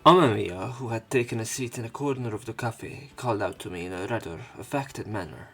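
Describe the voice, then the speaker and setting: A man's voice: on the low side, fairly quick, a bit bright, breathy edge, soft background music. A man hosting a let's play, reading a visual novel aloud theatrically and adding casual commentary.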